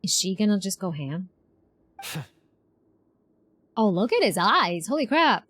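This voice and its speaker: A woman's voice: medium pitch, sing-song, a little slow, a bit bright, slightly rough, dry recording. A woman streamer giving casual, playful live reactions to a game, often repeating short phrases. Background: game music.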